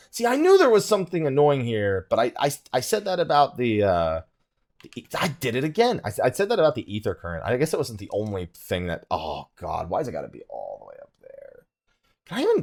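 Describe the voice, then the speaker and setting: A man giving casual let's play commentary as a video creator, rambling and thinking aloud, often sidetracking himself. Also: fairly low pitch. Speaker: gaming video creator and live streamer.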